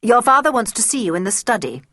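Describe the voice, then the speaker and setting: A woman's voice: on the high side, very animated, fast talker, bright, forceful tone, dry recording, clean studio sound, voice pushed up. A woman voicing a stern, suspicious male tutor in a storybook reading, with clipped, reproving speech.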